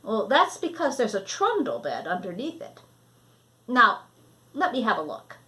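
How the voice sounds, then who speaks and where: A woman voicing a kindly, caring grandmother in a storybook, gentle and hospitable. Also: on the high side, huge pitch swings, unhurried, exaggerated voice. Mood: welcoming. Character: kindly, caring grandmother hosting a stranded boy.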